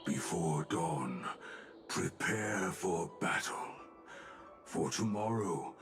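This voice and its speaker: A man voicing a huge, solemn smith in a fantasy game, with deep gravelly speech in terse, inverted fragments. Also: lively intonation, drawn-out words, heavily acted. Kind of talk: dramatic video game dialogue. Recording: music behind the voice.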